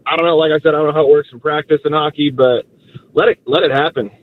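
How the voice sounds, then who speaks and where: A man's voice: fairly high pitch, steady tone, quick pace, phone-quality sound. A man calling into a sports talk show, hesitant and restarting his sentences. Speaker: caller.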